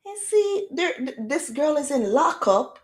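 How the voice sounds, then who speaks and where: A Jamaican woman hosting a casual livestream chat in a loud, projecting voice, firing off rhetorical questions with easygoing slang. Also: sits high, very animated, unhurried. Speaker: livestream host.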